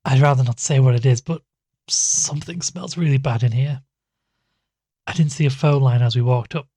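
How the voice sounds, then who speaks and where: A man's voice: mid-range voice, moderate pace, warm tone, breathy edge, no room sound, lightly acted. A man playing an uneasy, wryly weary investigator in an audio drama, speaking hesitantly. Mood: uneasy, guarded. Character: uneasy male investigator narrating his case, reluctant and wry. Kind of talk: audio drama dialogue and first-person narration.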